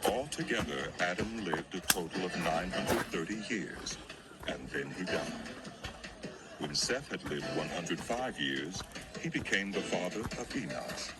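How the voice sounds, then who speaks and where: A male narrator reads scripture as solemn drama. His voice is low and moves expressively through the measured storytelling, with prominent music underneath. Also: expressive.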